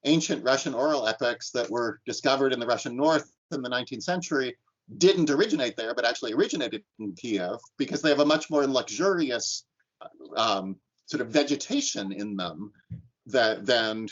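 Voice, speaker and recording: Male instructor, mid-pitched, halting lecture with restarts, lo-fi online call. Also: lively intonation. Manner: thoughtful, many restarts and ums. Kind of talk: lecture with class discussion. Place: online class.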